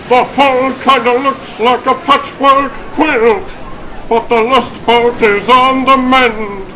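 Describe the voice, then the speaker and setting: A man voicing an upbeat, easygoing animal superhero in a comic dub, with slight noise behind. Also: extremely high pitch. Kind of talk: comic book dub acting.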